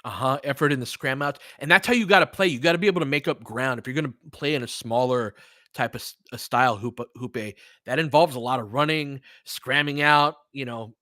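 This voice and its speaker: Casual man talking hoops, bright voice. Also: mid-range voice, fairly quick, dry recording, clean studio sound. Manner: conversational, informal slang, thinking aloud. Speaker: sports podcast host. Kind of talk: casual live basketball commentary, replying to chat.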